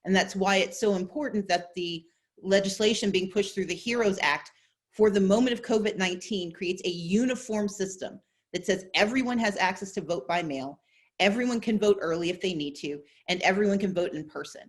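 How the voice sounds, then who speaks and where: A woman's voice: mid-range voice, fairly quick. Fluent woman explaining on a panel. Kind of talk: panel discussion answer. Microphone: video call.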